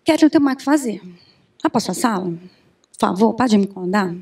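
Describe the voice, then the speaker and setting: A Brazilian woman in her thirties giving an animated conference talk on a handheld mic, often asking the audience questions. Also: medium pitch, a little fast, husky. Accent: Brazilian Portuguese. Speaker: conference speaker. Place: large conference room with audience. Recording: distant room sound from far camera.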